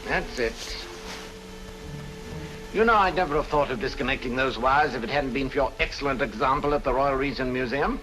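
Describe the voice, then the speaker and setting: Actor playing a smug, ruthless criminal mastermind. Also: male voice, mid-range voice, normal speed, music in the background, close to an everyday voice, poor audio. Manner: sardonic, commanding.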